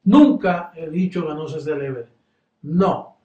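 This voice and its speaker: A man from Puerto Rico with a Puerto Rican Spanish accent, preaching fervently and then chatting relaxed as he closes. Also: a little high, takes it slowly, reverberant, dull audio. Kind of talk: sermon closing and farewell.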